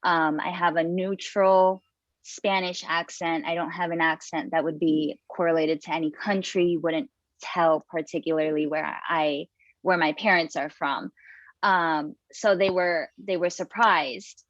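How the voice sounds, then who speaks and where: Slow-talking young woman on a dull call. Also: on the low side, fairly even intonation, no echo. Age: young adult. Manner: unhurried, with frequent fillers and restarts. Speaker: TV news producer and digital reporter. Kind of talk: panel self-introduction.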